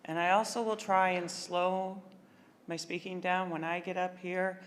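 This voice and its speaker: Lady doing a keynote, talks fast, punchy, echoey hall. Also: fairly low pitch, level tone, slight background noise. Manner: chatty, fast, emphatic. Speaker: keynote speaker. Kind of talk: conference keynote talk. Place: large conference hall. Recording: long hall reverb, faint ambience.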